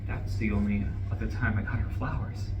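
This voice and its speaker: A man's voice: lowish voice, fairly even intonation, brisk, dull audio, natural voice. An actor playing a distraught, irritable, newly divorced man in a live stage play reading. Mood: wistful, grieving. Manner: faltering, sighing, swinging into bitter outbursts. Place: indoor room at a live reading. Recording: echoey room, distant mic, faint noise.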